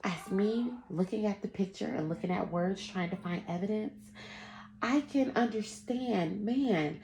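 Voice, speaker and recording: A woman's voice: medium pitch, unhurried, dark tone, slight background noise, low-quality recording. A woman schoolteacher reading aloud and teaching children, instructive and emphatic, addressing them directly. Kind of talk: children's read-aloud lesson.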